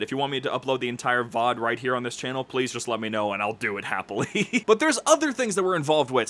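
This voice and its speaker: A man's voice: medium pitch, fairly bright tone. A man hosting a channel update in a casual, effusive, slangy way. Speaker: channel host. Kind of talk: casual enthusiastic video update. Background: background music.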